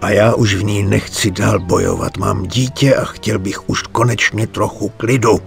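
An older man playing a weary, remorseful mob bookkeeper in a dubbed game, earnest and halting, pleading for his family. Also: fairly low pitch, fairly even intonation, creaky, faint music behind. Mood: weary, resigned. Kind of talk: dubbed video game cutscene acting.

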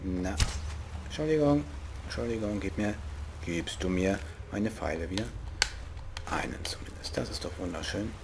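A young man with a regional German dialect jokes and chats to himself while he plays. His voice sits fairly low, and faint game sound runs behind him. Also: normal speed, vocal fry, long pauses, background noise. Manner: joking, playful, talks to himself. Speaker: let's play host. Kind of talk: casual gameplay commentary.

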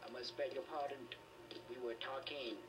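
Actor as calm, courteous older gentleman, distant. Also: medium pitch, normal speed, over music, natural voice. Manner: formal, calm, polite. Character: patient, courteous older uncle taking tea. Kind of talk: video game voice acting. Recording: game audio picked up off speakers.

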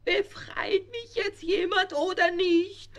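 A man's voice: very high voice, little pitch movement, slow pace, a bit bright, heavily acted. A man voicing a small childish dinosaur who plays an imperious, whiny king in a children's radio play. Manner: bossy, petulant.

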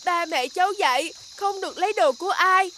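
A woman voicing a tiny, polite and brave little boy in an animated fairy tale. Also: very high-pitched, fairly quick. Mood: polite, eager.

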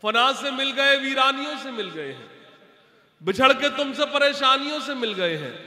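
A man's voice: very high voice, big pitch swings, moderate pace, pushed and bright. A man in his forties reciting Urdu couplets on an outdoor stage, repeating each line, sometimes singing them and joking with the crowd. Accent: North Indian Urdu. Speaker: poet. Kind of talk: Urdu poetry recital, partly sung. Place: outdoor stage at a stadium poetry gathering. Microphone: stand mic on stage. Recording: echoey PA sound with long reverb.

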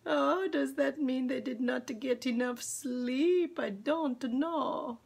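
A woman in her forties, from Zimbabwe, hosting a children's singalong live from home on a webcam, chatting slowly and cheerfully and greeting viewers by name. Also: high-pitched, gravelly, breathy edge. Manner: slow, cheerful, affectionate, talks to viewers by name. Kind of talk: casual live-stream chat and singing. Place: home room with plants and curtains. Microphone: webcam at desk distance.

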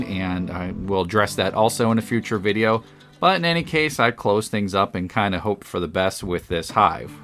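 A man with a fairly low voice narrates in a relaxed, matter-of-fact way, explaining each step as he goes. His voice-over sits over clearly audible background music. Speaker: beekeeper and channel host. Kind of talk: voice-over narration of a hive install.

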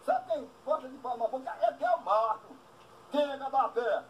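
A man playing a cranky, foul-mouthed old backcountry man who turns indignant and insulting fast, recorded outdoors at a rural house. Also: expressive, dull audio, slight background noise. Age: older. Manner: shrill, insulting, quick to anger. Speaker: comic character.

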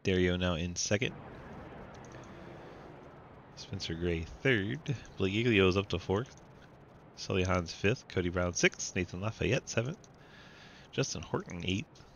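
Gravelly low-voiced race caller with a cold. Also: male voice, a little slow, pauses often, a bit bright, dull audio, slight background noise. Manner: laughs often, playful. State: stuffy with a cold. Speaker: sim racing commentator. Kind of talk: live race commentary and banter.

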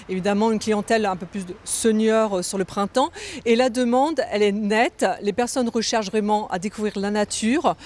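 A woman's voice: medium pitch, darkish tone. A woman, a tourism director explaining her work in an interview. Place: outdoors on a terrace by a car park. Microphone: handheld interview microphone held close. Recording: outdoor street noise.

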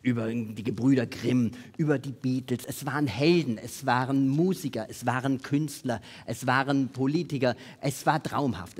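A man in his forties presenting a live magic act on a theatre stage, with playful, showmanlike patter and deliberate pauses. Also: medium pitch, slightly rough. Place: large theatre stage with seated audience. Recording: music playing behind the voice. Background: music.

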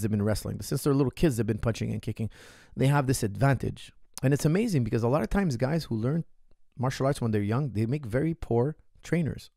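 A man in his thirties, a martial arts coach, answering a listener's question on a podcast in an animated, emphatic way, speaking into a studio mic on a boom arm in a small room. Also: no room sound.

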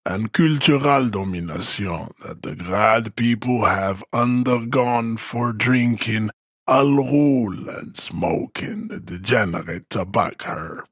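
An actor playing a haughty, hulking supremacist strongman in a video game, speaking in a solemn, pompous, declamatory manner with a husky voice. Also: on the low side, slow, a bit bright, no room sound, studio quality, full character voice. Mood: pompous, disdainful. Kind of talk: scripted game character monologue.

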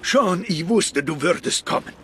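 An actor playing a defiant, urgent captured resistance fighter in a dramatic video game scene, speaking forcefully. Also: male voice, sits high, animated, measured pace, heavy background noise. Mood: relieved, resolute.

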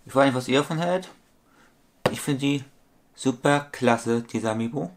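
A man's voice: mid-range voice, moderate pace, noisy background. A man in his thirties talking casually to a webcam in a small room at home, rambling and repeating himself as he shows a collectible figure. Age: 30s. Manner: rambling, repetitive, corrects his own sums aloud. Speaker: toy collector vlogger. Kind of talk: casual vlog monologue.

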